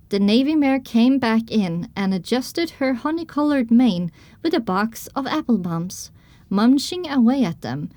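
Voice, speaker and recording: A woman playing a pony mare who narrates her own adventure in measured, descriptive audiobook style. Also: a little high, no room sound. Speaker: first-person narrator.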